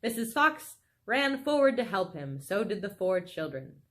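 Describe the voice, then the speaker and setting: A woman reading a children's story aloud in a dramatic, expressive way. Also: medium pitch, moderate pace. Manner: animated, dramatic, with exclaimed asides to listeners. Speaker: storybook reader.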